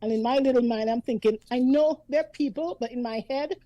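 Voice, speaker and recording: Lady in her 50s telling old family stories, chatty, slightly high mellow voice, dry close sound. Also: fairly quick, dry recording, highs cut off. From Antigua. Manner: chatty, storytelling, often laughing. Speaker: guest. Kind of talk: casual reminiscing conversation.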